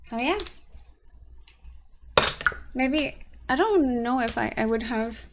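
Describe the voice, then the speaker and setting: Tired, raspy young woman vlogging slowly. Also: on the high side, lively intonation, noisy background. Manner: rambling, halting, frequent restarts and soft laughs. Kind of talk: casual vlog monologue.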